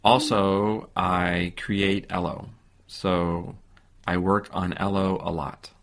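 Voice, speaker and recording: An American man in his forties giving simple, deliberate answers in a beginner English listening lesson. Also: low voice, little pitch movement, fairly bright tone. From San Francisco, United States. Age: 40s. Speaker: English teacher. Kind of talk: slow, simple scripted-style interview answers for beginner learners.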